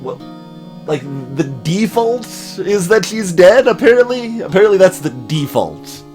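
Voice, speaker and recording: Guy doing let's play commentary, very animated, wry and mock-incredulous, bit of music behind. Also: sits high, a little slow. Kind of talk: casual joking game commentary.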